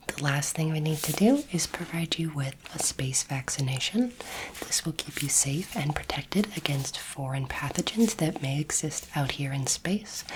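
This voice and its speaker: A woman whispering gently and reassuringly in an ASMR roleplay, acting as a calm, kindly alien doctor, close to the microphone. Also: sits low, background noise. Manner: soothing, gentle, reassuring, unhurried. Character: kindly, calm female alien space doctor examining a human. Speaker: ASMR performer playing an alien space doctor.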